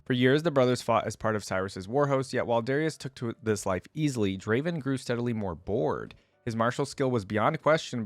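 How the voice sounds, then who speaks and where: A man's voice: fairly low pitch, no room sound. A man doing casual reaction commentary, reading text aloud and adding his own remarks. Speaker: host of a gaming reaction channel.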